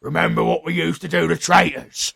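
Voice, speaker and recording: Very gravelly British monster henchman. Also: fairly high pitch, flat delivery, a little fast, a bit bright, full character voice. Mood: eager, matter-of-fact. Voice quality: very gravelly, raspy. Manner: blunt, colloquial, deferential to his boss. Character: big, loyal, dim-witted male monster chieftain henchman. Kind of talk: comedy audio roleplay acting.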